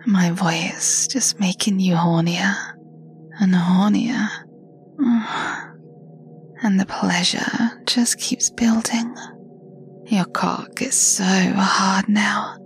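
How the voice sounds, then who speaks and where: Slow whispering woman, teasing tone. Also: mid-range voice. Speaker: erotic audio performer. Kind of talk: scripted intimate roleplay monologue.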